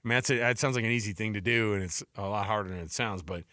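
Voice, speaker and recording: Bright-voiced male sports radio host. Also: lowish voice, racing, no room sound, clean studio sound. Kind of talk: animated sports banter. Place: radio studio. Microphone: close studio microphone.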